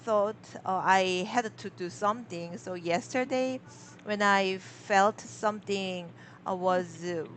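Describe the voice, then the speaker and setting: A woman with a cold and a sore throat speaks as a learner, slowly and haltingly, breaking off to restart her sentences. She fills the gaps with hesitant sounds. A faint hiss sits under her voice. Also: medium pitch, a bit bright. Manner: halting, many fillers and restarts. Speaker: English learner. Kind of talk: casual conversation practice.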